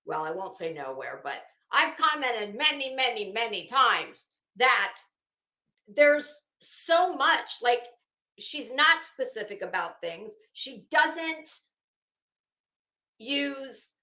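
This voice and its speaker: Bright, high-voiced sardonic woman in echoey room. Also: big pitch swings, takes it slowly. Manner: mocking, drily sardonic asides. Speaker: live-stream host and commentator. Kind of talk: sarcastic dramatic reading with running commentary.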